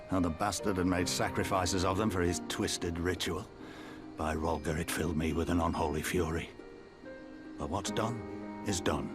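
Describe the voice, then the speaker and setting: Actor as a low-voiced older male resistance commander. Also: lightly acted. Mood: grave, bitter. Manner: measured, blunt, formal with folksy turns. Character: gruff older male resistance commander, weary, proud, wry. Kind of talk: video game cutscene acting.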